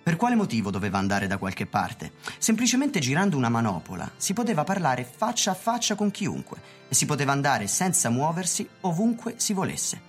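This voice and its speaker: A woman's voice: deep voice, very animated, brisk, few pauses. A woman reading literary narration for a radio theatre production. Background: music.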